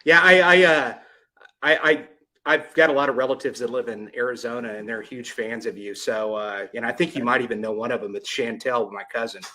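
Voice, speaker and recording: Male podcast host, bright tense voice, casual and stammering. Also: mid-range voice, steady tone, a little fast, rough recording. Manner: stammering, repeats words.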